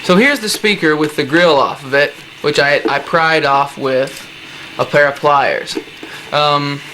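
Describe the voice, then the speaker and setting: A man's voice: a little high, bright, forceful tone, low-quality recording. A man presenting a casual, hands-on DIY tutorial with informal, slangy, laid-back phrasing. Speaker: presenter of a DIY how-to video. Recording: noticeable background noise.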